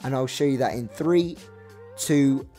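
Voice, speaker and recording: A young man with a croaky voice narrating a casual walkthrough of a Minecraft build, chatty and rambling. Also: medium pitch, measured pace, warm tone, soft background music. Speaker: Minecraft builder showing his map.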